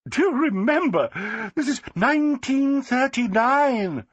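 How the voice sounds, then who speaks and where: Shrill gushing society wife, as a man puts her on. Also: expressive, slow pace, dry recording, muffled recording, full character voice.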